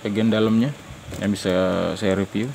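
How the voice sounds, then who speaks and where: A man with a fairly low voice talks informally as he demonstrates something by hand. His delivery is halting, with pauses mid-phrase. A faint noise sits behind him in the recording. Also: little pitch movement, a little mellow. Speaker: host of a DIY audio channel. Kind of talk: casual hands-on demonstration.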